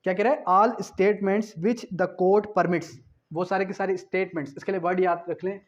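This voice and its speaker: A man's voice: high-pitched, steady tone. A man with a Pakistani accent lecturing for an exam, didactically reading out definitions, repeating key phrases and asking rhetorical questions as he explains. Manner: switches between Urdu and slowly read English phrases. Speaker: law lecturer. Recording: dull lo-fi lecture audio.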